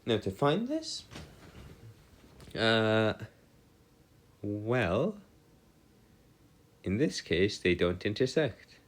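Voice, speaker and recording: A man's voice: on the low side, sing-song, very slow, pauses often. A man giving an informal maths lecture as a tutor, explaining in formal wording and often correcting himself as he goes. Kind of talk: informal lecture working through equations.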